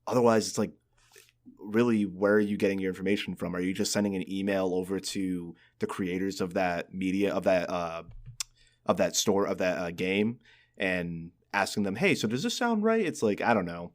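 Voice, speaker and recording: Low-voiced man in casual podcast chat. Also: normal speed. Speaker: podcast co-host. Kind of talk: casual chat about video games.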